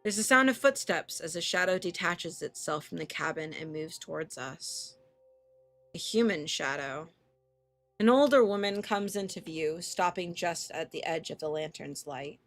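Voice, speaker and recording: A woman's voice: on the low side, lively intonation, moderate pace, a bit bright, soft background music. A woman streamer reading a visual novel aloud expressively, with casual, sweary reactions.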